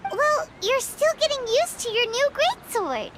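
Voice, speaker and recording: A tiny, excitable fairy sidekick for a video game, with an extremely high, childlike squeak. The delivery is lively and swooping, earnest and full of curiosity. Loud game sound runs behind it. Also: female voice, measured pace, noisy background. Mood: matter-of-fact, earnest. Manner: speaks of herself in the third person, exclamatory. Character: small childlike female fairy companion, earnest, excitable, inquisitive. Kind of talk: animated video game voice acting.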